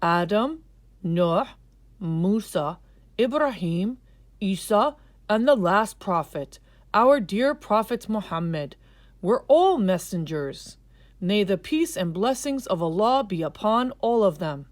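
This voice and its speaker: Woman reading as a wise storybook father, expressive delivery. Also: mid-range voice, slow pace, dry recording. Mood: patient teaching. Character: wise, kindly father gently teaching his son.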